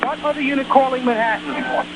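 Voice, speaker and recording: A man's voice: extremely high pitch, fairly even intonation, fairly quick, over a noisy crowd. A man working as a fire dispatcher, giving firm, commanding orders over emergency radio and repeating them. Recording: noisy emergency radio traffic. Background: loud radio noise.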